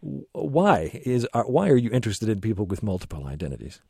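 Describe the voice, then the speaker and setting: Man, radio host, mellow lowish voice, dry studio, hesitant, lots of ums. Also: sing-song, a little fast, gravelly, breathy edge, dry recording. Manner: hesitant, many ums and restarts. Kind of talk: radio interview.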